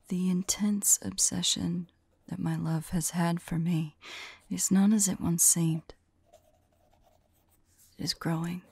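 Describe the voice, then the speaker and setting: A lovelorn woman mage, played by an ASMR voice actress, murmurs anguished journal entries in a dark, mellow voice. Her delivery is hushed, breathy and mournful, with a creaky edge, recorded very dry and close. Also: lowish voice, no room sound, heavily acted. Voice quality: soft, breathy, husky. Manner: hushed, halting, mournful, intimate. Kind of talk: slow dramatic monologue, ASMR audio roleplay.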